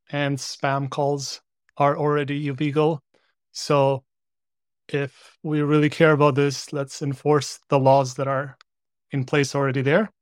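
A man's voice: a little high, dry recording. A man with a somewhat raised voice speaks as a panel guest. He argues his point plainly and with conviction, and the sound is dry and close. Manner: argumentative, matter-of-fact. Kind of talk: casual discussion.

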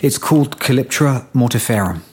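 Rattled scientist, swooping voice. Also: male voice, mid-range voice, animated, moderate pace, husky. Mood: subdued. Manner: hesitant, stammering when alarmed. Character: anxious scientist, a husband, polite but rattled. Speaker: actor. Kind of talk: naturalistic audio drama acting.

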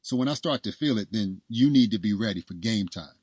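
A self-assured young wife from a storybook, acted out by a male narrator, wry and skeptical in manner. The voice is husky and sits close in a dry recording. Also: on the low side, expressive, a little fast, darkish tone, dry recording. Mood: confident, insistent. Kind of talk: storybook dialogue.

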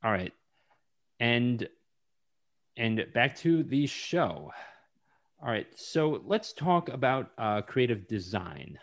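A man hosting a podcast interview, speaking in an unhurried casual way with frequent ums and restarts. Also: mid-range voice, big pitch swings. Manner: slow, hesitant with many ums. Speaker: podcast host.

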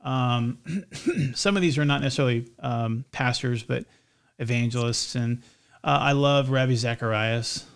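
A man with a slight lisp, chatting casually as a podcast host in a halting way, often restarting his phrases. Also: mid-range voice, clean tone. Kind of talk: casual co-hosted podcast chat.

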